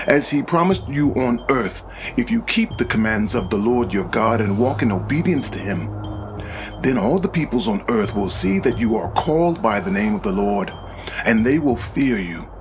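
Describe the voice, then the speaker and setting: A man narrating scripture as a dramatized audio Bible reading, solemn and declamatory in delivery. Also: mid-range voice. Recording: music behind the voice. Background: background music.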